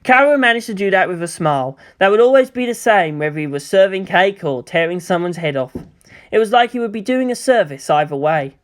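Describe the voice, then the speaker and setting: Expressive male story narrator, lively pitch. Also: a little fast. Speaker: audiobook-style narrator of fan fiction. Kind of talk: dramatic scripted story narration.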